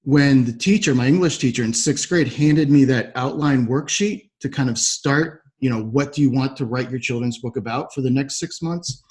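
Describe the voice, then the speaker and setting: A man's voice: mid-range voice, moderate pace, few pauses, darkish tone. A man with a mid-range voice hosts a podcast interview. He speaks conversationally, in an agreeable and reflective manner. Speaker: podcast host.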